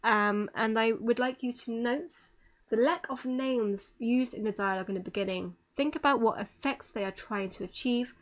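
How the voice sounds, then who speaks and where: A woman's voice: a little high, moderate pace. A woman giving an informal online workshop presentation, speaking in a hesitant way with frequent ums. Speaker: presenter of a visual novel writing workshop.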